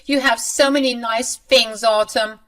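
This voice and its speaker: A woman voicing a scheming, self-pitying older mother-in-law in a high, shrill, pleading voice. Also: fairly even intonation, unhurried, fairly bright tone. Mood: whining.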